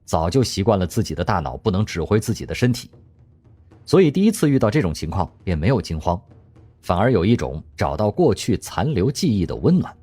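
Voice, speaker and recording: A man narrating a radio drama in scripted storytelling style, expressive and lively in delivery. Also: low-pitched, brisk, slightly rough.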